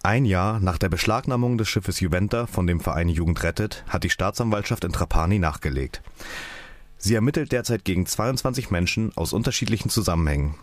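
A man's voice: low voice, a little fast, dry recording. A man, an activist, reading out a scripted political statement. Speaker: activist speaker. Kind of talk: scripted political speech read aloud.

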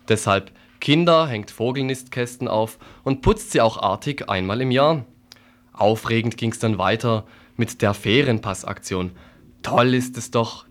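A man reading a scripted satirical radio report with an ironic, mock-earnest delivery. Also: medium pitch.

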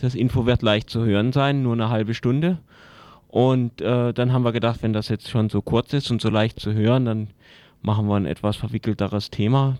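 A man's voice: lowish voice, a little mellow, no echo. A man talking off the cuff as a radio co-presenter, previewing the programme in a studio. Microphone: shared studio microphone.

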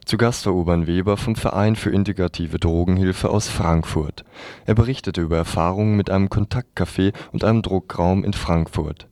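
A man's voice: sits low, moderate pace, a little mellow, no room sound. A man presenting radio news, reading from a script.

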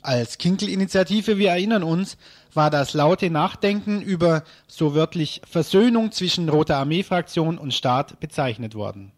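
Higher-pitched man reading radio news commentary. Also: normal speed. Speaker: radio news presenter and interviewer.

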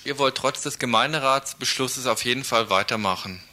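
A man's voice: medium pitch, brisk, bright, forceful tone. A man asking informal questions as a radio interviewer.

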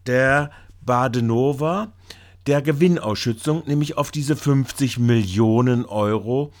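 A man reading scripted, critical news commentary in a formal, halting way with long sentences. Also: medium pitch. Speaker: reporter and commentator.

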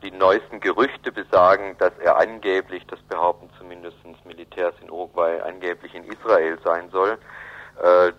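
Man, deep voice, radio interviewee, halting explanatory delivery, dull lo-fi sound. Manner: hesitant, halting, with frequent ums and rephrasing. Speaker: interviewee explaining South American politics. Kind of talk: unscripted radio interview, analytical. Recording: dull, band-limited radio recording.

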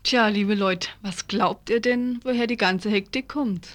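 A woman's voice: mid-range voice, a little slow, loud music behind the voice. A woman presenting a scripted radio promo, speaking directly to listeners as a member of the news team. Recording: noisy radio recording with clicks.

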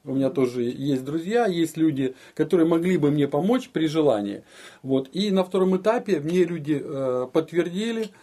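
A man in middle age speaks in a soft-edged, mellow voice that sits a little high. He explains his own story conversationally, pausing and restarting as he works through it. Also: lively intonation. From eastern Ukraine. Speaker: interviewee telling his escape story. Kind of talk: conversational personal recollection.

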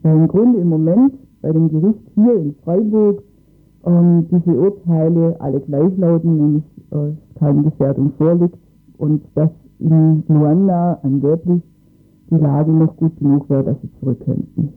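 A man's voice: a little high, fairly even intonation, a little slow, phone-quality sound, faint music behind. A man explaining matters in a radio interview, hesitantly searching for his words. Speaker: interviewed expert on asylum cases.

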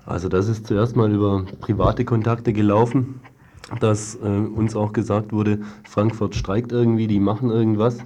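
A man's voice: lowish voice, little pitch movement, moderate pace, warm tone. A young man answering informally in an interview as a student activist. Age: young adult.

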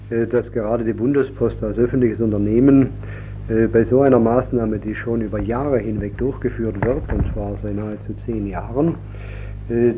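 A man speaking as a union official at a press conference, deliberate and halting, with frequent hesitations. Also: medium pitch. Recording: dull, noisy location recording.

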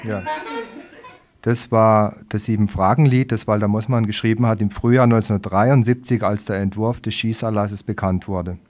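Man, low voice, radio host, matter-of-fact, informal, live studio talk. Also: fairly even intonation, normal speed, a little mellow, soft background music. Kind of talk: live radio show sign-off.

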